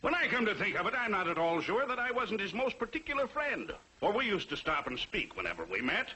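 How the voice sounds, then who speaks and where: An actor playing a callous, jocular gossiping gentleman in a 1940s television drama, with flippant delivery. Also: high-pitched, very animated, fairly quick, slightly rough, full character voice. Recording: noisy, harsh old 1940s TV soundtrack.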